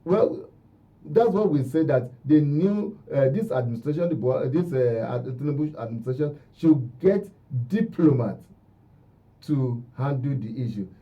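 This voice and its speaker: Male radio guest, Nigerian accent, very dark relaxed tone, emphatic repetition, slightly muffled lo-fi sound. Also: medium pitch, moderate pace, recorded from a distance. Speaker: radio guest commentator on diplomacy. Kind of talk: radio interview discussion. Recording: dull, lo-fi radio audio.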